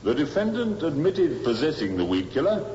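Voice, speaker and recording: A man's voice: on the high side, big pitch swings, normal speed, warm tone. An actor playing a polite, matter-of-fact male police inspector in a 1950s radio crime drama. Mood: courteous, official. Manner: courteous, official, matter-of-fact. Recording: muffled old radio recording, reverberant, hissy.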